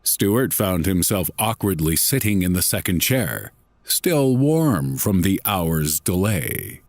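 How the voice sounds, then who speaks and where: A man reading a scripted horror story in a deliberate, dramatic, literary manner. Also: low voice, sing-song, vocal fry, darkish tone, dry recording. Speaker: narrator.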